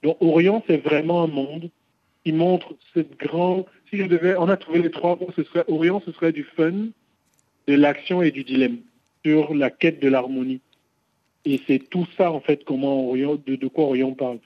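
A man's voice: on the high side, steady tone, moderate pace, dry recording. Thoughtful man on dry, dull radio line. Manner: reflective, explanatory, builds long arguments. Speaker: game studio founder. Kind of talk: radio discussion guest.

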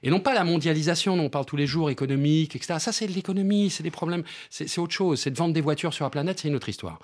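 A man who is bilingual in French and Portuguese, a theatre director speaking as a guest in a radio interview, emphatic and repeating words as he explains. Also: very fast. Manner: emphatic, repeats words, lively pitch swings.

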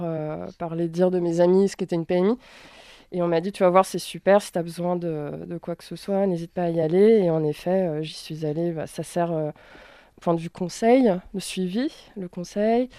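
Low, mellow woman speaking haltingly. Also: dry recording. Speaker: mother interviewed at a health centre. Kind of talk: casual spontaneous testimony.

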